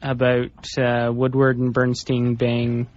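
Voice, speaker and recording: A man's voice: medium pitch, monotone, slow, darkish tone. A man co-hosting a radio show with casual banter, talking in a blunt, irreverent way. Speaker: radio co-host. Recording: dull lo-fi radio recording.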